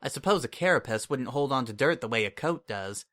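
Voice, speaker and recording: A man reading a fantasy story aloud in a relaxed, conversational first-person narration. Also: mid-range voice, brisk. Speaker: story reader. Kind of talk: dramatic audiobook narration.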